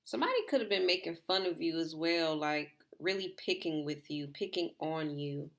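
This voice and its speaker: A slightly hoarse woman talks her viewers through a tarot spread in a loose, rambling way, saying "like" again and again. Her voice sits low and carries a gravelly fry. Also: normal speed, fairly bright tone. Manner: rambling, repeats herself, filler "like". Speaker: tarot reader. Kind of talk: casual tarot reading to viewers.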